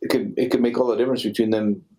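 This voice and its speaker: A man answering interview questions off the cuff, in halting, rambling phrases. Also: medium pitch, little pitch movement, dark tone, low-quality recording. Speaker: ministry director, radio show guest. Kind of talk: unscripted interview talk.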